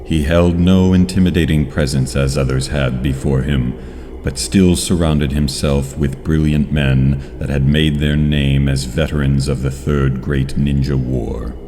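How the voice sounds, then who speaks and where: A man as a very deep, solemn drama narrator. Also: fairly even intonation, mellow. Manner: solemn, measured. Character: grave storyteller narrator of a ninja saga. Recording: long reverb, faint background noise.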